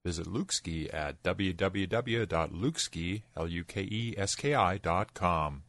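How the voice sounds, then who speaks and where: A man's voice: low-pitched, expressive, a little slow, fairly bright tone, dry recording. A man co-hosting a podcast in casual, conversational chat. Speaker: podcast co-host.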